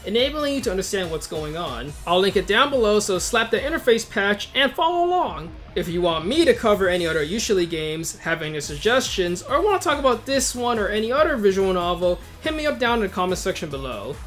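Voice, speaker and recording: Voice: medium pitch, sing-song, a little fast, few pauses, fairly bright tone, faint music behind. A video host reading a scripted but casual review, informal and opinionated, with wry asides.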